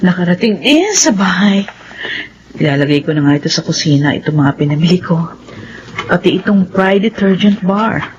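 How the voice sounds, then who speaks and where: A woman playing a gentle, doting mother in a radio drama, affectionate and encouraging. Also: on the low side, lively intonation, moderate pace, dull audio, a little hiss or hum, lightly acted.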